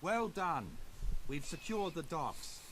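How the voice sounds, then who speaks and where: A man's voice: fairly high pitch, lively intonation, slow pace, a bit bright. An actor playing a stern male marshal in a video game, issuing clipped commands to his troops. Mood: commanding. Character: stern male marshal leading a city's defense. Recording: game audio with clear background noise.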